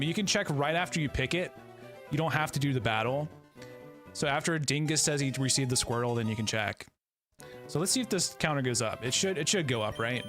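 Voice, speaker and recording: A relaxed man in his thirties talks casually while playing on a live stream. His voice sits a little high, and he keeps up a chatty back-and-forth with his viewers over soft background music. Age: 30s. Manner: laid-back, chatty, greets and answers chat viewers. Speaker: game streamer.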